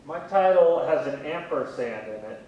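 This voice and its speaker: A man in his thirties chatting casually in an interview, with a wry, gently self-deprecating way of talking. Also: animated, moderate pace, dull audio. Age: 30s. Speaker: museum communications specialist, interviewee. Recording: very echoey room, distant mic, faint rumbling noise.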